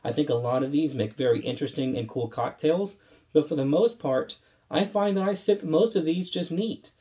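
A man in his thirties from Texas with a slightly raspy, allergy-congested voice, chatting enthusiastically while reviewing rums at a home bar. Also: fairly high pitch, moderate pace, mellow. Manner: enthusiastic, conversational, holding up bottles while tasting. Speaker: rum reviewer. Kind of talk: casual spirits review. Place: indoor home bar room.

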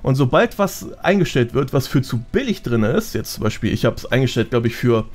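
A man's voice: mid-range voice, very animated, brisk, a little mellow. A man talking casually as a podcast guest, explaining things in a chatty, self-deprecating way.

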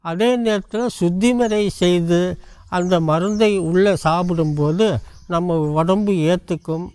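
An older man with a fairly high, smooth, resonant voice explains things straight to the camera. He speaks at an unhurried pace and lists items one by one. He is recorded outdoors among trees on a clip-on mic. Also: darkish tone. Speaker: traditional medicine practitioner.

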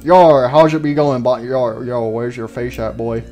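A man's voice: medium pitch, unhurried, darkish tone, faint music behind, pitched below the performer's own voice, natural voice. Animatronic buccaneer fox for a hobby cartoon, male actor: boisterous, meandering, eager, pirate talk throughout. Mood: jaunty. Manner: starts sentences with "yar".

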